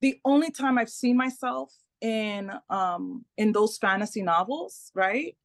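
A Dominican American woman whose first language is Spanish. She talks as an author guest in a casual interview, animated, and often repeats words and asks "right?". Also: on the high side, unhurried, no room sound, clean studio sound. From Dominican American, parents from the Caribbean.

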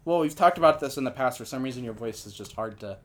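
A man with a nasal voice hosts a casual comedy podcast, spinning absurd tall tales in a deadpan, rambling way. His pitch sits in the middle range, and he speaks into a podcast microphone. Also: quick pace. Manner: rambling, deadpan absurd storytelling with many fillers. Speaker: podcast host.

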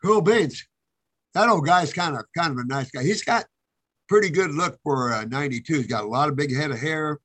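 A man's voice: fairly high pitch, a little fast, a bit bright, husky. A man hosting a health call-in show, giving rambling, folksy advice to callers. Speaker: host answering callers.

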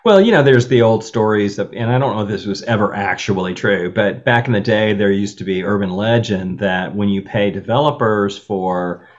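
A man's voice: lowish voice, moderate pace, few pauses. Man telling wry stories, muffled audio. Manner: animated storytelling with wry asides. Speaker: panelist. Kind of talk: casual panel discussion.

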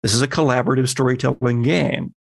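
A man acting as game master, explaining tabletop game rules in a measured, conversational, lightly playful way. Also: medium pitch, fairly even intonation, creaky, dry recording.

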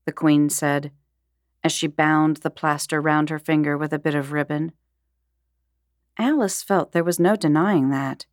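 A woman giving an expressive scripted reading of a children's storybook. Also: low-pitched, measured pace, dry recording, clean studio sound.

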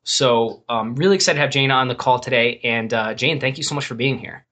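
A man's voice: mid-range voice, fairly quick, sharp tone. Rambling, friendly-voiced man hosting. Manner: loose and rambling, lots of "um" and self-corrections. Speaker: podcast host. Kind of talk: casual interview over a call.

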